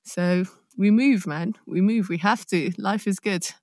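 A woman's voice: mid-range voice, unhurried, darkish tone, no room sound. A woman from London with a London accent, chatting informally and expressively, reflective with playful asides. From London, England, born in Nigeria. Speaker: podcast guest, actor. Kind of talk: casual chat.

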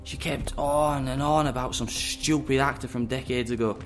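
A smug, menacing double agent acted by a man in a sci-fi audio drama. He taunts and talks down in a possessive, mid-pitched voice over clear background music. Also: fairly even intonation, moderate pace, close to an everyday voice. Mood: smug contempt. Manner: taunting, condescending.

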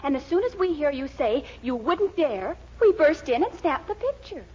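An actress playing a brisk, confident, scheming young American sweetheart in a 1940s radio comedy, bubbly and coaxing. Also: female voice, high-pitched, very animated, darkish tone. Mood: gleeful scheming. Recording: muffled 1940s radio recording.

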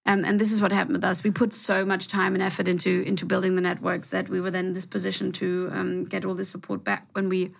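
A woman's voice: lowish voice, monotone, quick pace, creaky, hardly any pauses. A woman co-hosting a casual interview chat, giving earnest and emphatic advice about investing. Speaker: podcast co-host, venture fund co-founder.

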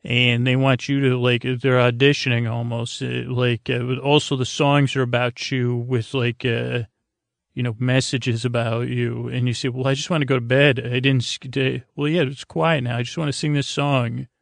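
A man with a creaky, gravelly voice talks in a gentle bedtime-podcast monologue. His delivery is flat and halting, full of stammers and half-finished thoughts that wander off. The sound is close and dry. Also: mid-range voice, no echo. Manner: meandering, halting, stammering, trailing off mid-thought. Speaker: podcast host. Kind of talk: rambling, soothing bedtime sleep-podcast monologue.

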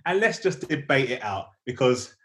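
A man's voice: medium pitch, very animated, moderate pace, fairly bright tone. Chatty man, slangy podcast host. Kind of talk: casual banter.

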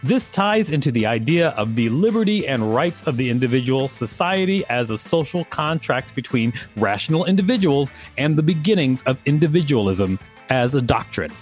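A man co-hosts a reading podcast, his mid-range voice rising and falling freely as he works through the article. He slips in playful little asides between passages. Faint music sits quietly beneath him. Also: medium pitch, big pitch swings, normal speed. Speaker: podcast co-host. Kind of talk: reading an article aloud with banter.

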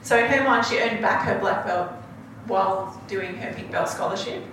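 A woman speaks earnestly on a panel, pausing on ums as she tells a story. Her voice sits well back from the mic in a very echoey hall. A steady wash of background noise runs under her. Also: mid-range voice, recorded from a distance. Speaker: panel guest. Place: large event hall.

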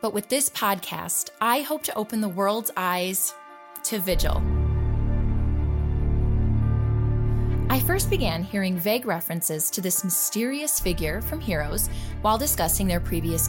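A woman's voice: mid-range voice, expressive, moderate pace, natural voice. Actress as earnest woman podcast reporter telling a mystery, asks rhetorical questions, music under it. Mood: earnest, intrigued. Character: earnest, intrigued woman investigative podcast journalist. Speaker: podcast host. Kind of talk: scripted investigative podcast narration.

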